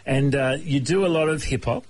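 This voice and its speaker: A man's voice: mid-range voice, normal speed, a little mellow, rough recording. A man hosting a casual interview, friendly, prompting his guest often with "mm-hmm". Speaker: host.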